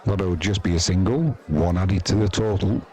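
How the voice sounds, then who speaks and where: A man's voice: low-pitched, normal speed, a little mellow. A man doing casual, chatty live cricket commentary and talking to viewers, with dry, informal asides. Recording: crowd noise behind the voice. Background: sports crowd.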